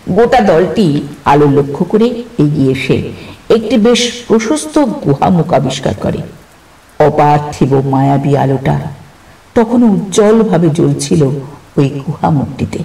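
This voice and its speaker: A male storyteller narrates horror in a fairly high, gravelly voice with suspenseful, theatrical phrasing. The sound is roomy and set back, with music underneath. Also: warm tone, far from the mic. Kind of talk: dramatic scripted horror narration.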